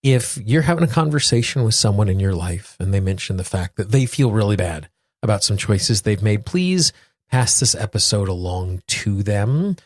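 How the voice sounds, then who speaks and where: A man hosting a solo podcast, speaking earnestly in deliberate phrases, repeating words for emphasis. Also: on the low side, animated, dry recording, clean studio sound. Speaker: podcast host. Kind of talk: solo podcast talk with guided affirmations.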